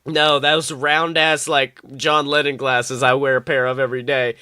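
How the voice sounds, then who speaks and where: A woman's voice: rumbling low, moderate pace, no room sound, clean studio sound. A young woman co-hosting a comedic podcast, chatting casually, acting out quotes and swearing freely as she tells stories. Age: young adult. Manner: animated storytelling, swears freely, acts out quotes. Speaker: podcast co-host.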